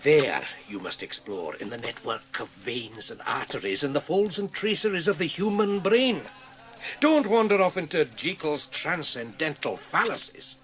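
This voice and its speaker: Sardonic, high-pitched old doctor played by a man. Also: expressive, normal speed, natural voice. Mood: wry, mischievous. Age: older. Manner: sardonic, eloquent, emphatic. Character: witty, scornful old materialist doctor, worldly and sardonic. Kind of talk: radio drama acting.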